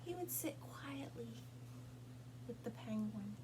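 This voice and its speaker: A woman reads a picture book to young children in a slightly high voice. Her pace is slow and deliberate, with expressive phrasing and pauses. A faint hum sits behind her. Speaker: storytime reader at a public library.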